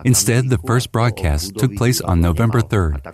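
A man reading a translated voice-over in a steady, plain way. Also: on the low side, moderate pace, crowd noise.

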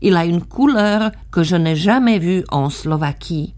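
A woman with a somewhat low voice reads the translation of an interview in the first person. Her delivery is calm and plain, like a dubbing voice-over. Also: a little slow.

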